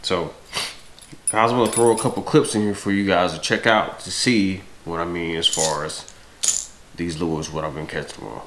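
Deep, stuffy-nosed American man. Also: fairly even intonation, normal speed, noisy background. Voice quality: stuffy nasal voice. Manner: frequent throat clearing. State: congested, stuffy from a cold. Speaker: fishing vlogger. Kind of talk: casual talk to camera. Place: home dining room with large windows.

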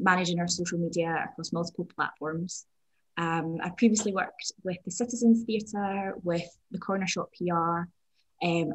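A woman speaking on an online panel about her career, steady and with frequent ums. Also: lowish voice, rough recording. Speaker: digital communications manager, panelist. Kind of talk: panel talk. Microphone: video call.

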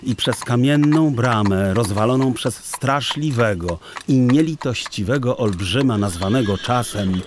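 A man narrating a children's story in a theatrical, deliberate, expressive literary style. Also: medium pitch, background noise. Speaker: audiobook narrator.

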